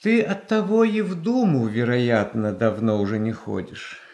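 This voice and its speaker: An actor playing a gentle, pious, anxious Russian tsar in a radio drama, speaking haltingly. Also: male voice, mid-range voice, echoey room, natural voice. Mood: gentle, hesitant. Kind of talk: classical Russian stage tragedy acting for radio.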